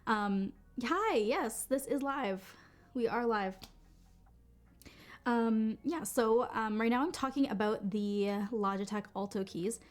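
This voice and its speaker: A woman hosting a casual live-stream product demo from her home basement studio, talking in a chatty way with many fillers and restarts, her voice a bit deep from being sick. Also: takes it slowly. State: sick, voice deeper than usual. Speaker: livestream product host.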